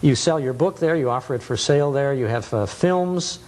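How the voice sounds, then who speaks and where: A man's voice: medium pitch, moderate pace, darkish tone. A man hosting a television nostalgia show, chatting casually and reminiscing as he interviews.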